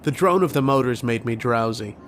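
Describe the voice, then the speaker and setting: An actor playing a haunted, anguished man telling his story in a halting, dramatic old-time radio horror style. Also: mid-range voice, noisy background, close to an everyday voice. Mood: haunted, uneasy. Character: haunted, anguished man recounting his nightmares and lost love.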